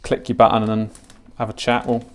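A young British man in his twenties talks through gear in a casual, informal unboxing style. His voice sits at a medium pitch, and he explains things in a chatty, matter-of-fact way. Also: completely flat delivery, fairly quick, slight background noise. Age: 20s. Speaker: fishing channel host demonstrating gear. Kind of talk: casual product unboxing and tutorial. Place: home kitchen, indoors.

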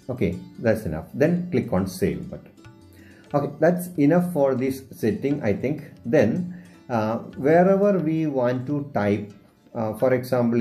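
Slow, hesitant man with an Indian accent. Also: lowish voice, warm tone. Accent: Indian English. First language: Malayalam. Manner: hesitant, many filler sounds. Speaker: teacher. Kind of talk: screen-recorded tutorial.

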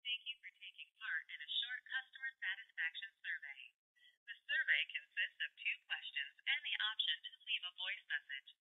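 A woman reading scripted automated phone menu announcements in a formal, measured manner over a telephone line. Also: mid-range voice, lively intonation, pushed and bright. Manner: formal, measured, courteous wording. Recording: dull telephone line audio.

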